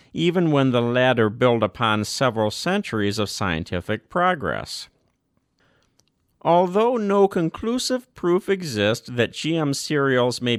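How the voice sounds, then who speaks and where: A man reads a formal written text as a narrator, in a measured and scripted way. His middle-pitched voice moves animatedly up and down across phrases. The sound is dry, with no sense of room around it. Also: dry recording. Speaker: audiobook narrator. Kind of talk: scripted narration, reading a formal document aloud.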